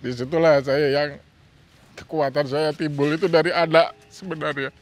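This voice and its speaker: A man's voice: fairly high pitch, fairly even intonation, unhurried, soft background music. A man in his fifties from Sumatra, giving an earnest, plain-spoken personal testimony. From Sumatra, Indonesia. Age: 50s. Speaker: testimonial speaker.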